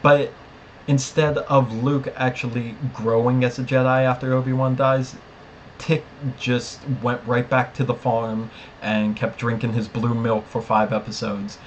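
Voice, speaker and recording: A man's voice: medium pitch, flat delivery, unhurried, muffled recording. Man chatting as podcast co-host, noisy background. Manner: opinionated, emphatic fan talk. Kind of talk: casual TV episode review chat. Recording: clear background noise.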